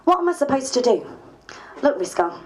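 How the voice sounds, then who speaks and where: A woman's voice: high-pitched, very animated, brisk, a little mellow, a little hiss or hum, poor audio. A woman playing an outspoken, principled MP in a diary dramatisation, speaking in a wry, conversational way. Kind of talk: dramatised diary, dialogue and narration.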